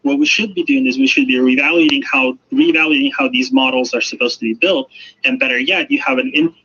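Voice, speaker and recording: A man's voice: mid-range voice, pushed and bright, breathy edge. A man giving emphatic explanations in an interview as an expert, often repeating phrases for emphasis. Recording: muffled, noisy radio-like sound.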